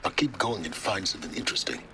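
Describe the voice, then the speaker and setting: A man's voice: deep voice, lively intonation, fast. An actor voicing a cold, impatient, dismissive male mentor in video game dialogue. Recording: clear ambient noise behind.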